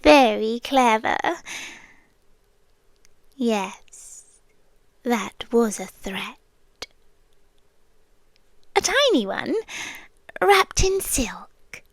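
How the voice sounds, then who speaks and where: A possessive yandere sea creature played by a woman speaks in a high British voice, lingering very slowly over each phrase. She is sweetly menacing, soft and half-whispered. It is an intimate ASMR roleplay, close on the mic and completely dry. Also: animated, no room sound, exaggerated voice. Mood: veiled menace. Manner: soft, breathy half-whisper, drawn-out pauses. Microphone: close ASMR microphone.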